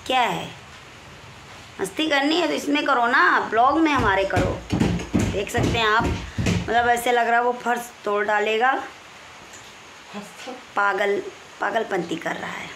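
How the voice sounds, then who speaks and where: A woman in her forties with a Kanpur Hindi accent, hoarse and husky, chatting in a rambling way into a phone held close in a small room at home, clearing her throat often. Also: a little high, unhurried, long pauses, fairly bright tone, a little hiss or hum. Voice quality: husky, strained voice. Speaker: vlogger. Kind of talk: casual home vlog. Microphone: phone camera held close, selfie framing.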